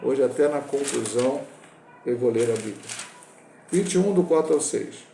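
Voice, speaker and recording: A Brazilian man in his sixties, a pastor teaching a Bible lesson, talking in a halting way with restarts and self-corrections. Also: mid-range voice, expressive, vocal fry, warm tone, echoey room, a little hiss or hum. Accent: Brazilian Portuguese. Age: 60s.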